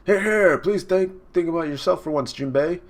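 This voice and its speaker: Man acting an earnest fishman pirate, pitched up. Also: normal speed, close to an everyday voice. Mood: earnest, caring. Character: loyal fishman pirate crewmates, earnest and supportive.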